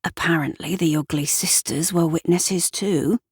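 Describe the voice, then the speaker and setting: A woman's voice: on the low side, fairly even intonation, measured pace, darkish tone, slightly rough, dry recording, clean studio sound. A woman voicing a gossipy man in a story, with a conspiratorial, sardonic manner. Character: gossipy, wry man in the pub.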